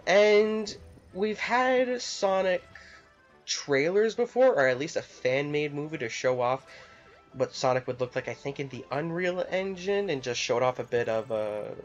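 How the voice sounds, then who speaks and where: A man with a mid-pitched voice talks casually and without a script, as a fan airing his views. He rambles with enthusiasm and slips in sarcastic asides. The recording is somewhat muffled and lo-fi, with music playing behind him. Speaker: video game fan giving his opinions. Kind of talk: casual, unscripted opinion commentary. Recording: muffled lo-fi mic with background music.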